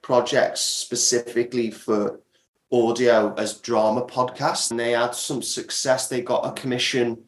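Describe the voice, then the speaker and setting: A man's voice: medium pitch, level tone, a little slow. A man speaking as an interview guest, explaining his work as a producer in casual talk. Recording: roomy, echoey sound.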